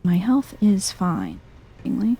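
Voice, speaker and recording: A soft-spoken woman doing chill, playful ASMR game commentary, often close to a whisper. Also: medium pitch, huge pitch swings, a little slow, slightly rough. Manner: chill, playful muttering to herself. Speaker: gaming video host.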